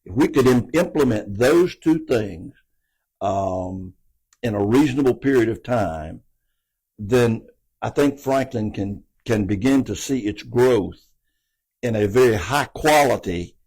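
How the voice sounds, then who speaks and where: A man's voice: mid-range voice, expressive, measured pace, a little mellow, breathy edge, poor audio. A man, answering interview questions in an earnest, folksy way and often repeating words as he goes. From American South. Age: 80s. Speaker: city council candidate.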